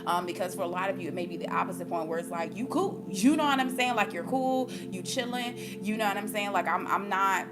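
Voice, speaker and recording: A woman's voice: medium pitch, expressive, fairly quick, few pauses. An American woman talking casually and emphatically to viewers as she gives an intuitive reading, repeating her points for stress. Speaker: spiritual reader giving intuitive readings to viewers. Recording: music playing behind the voice.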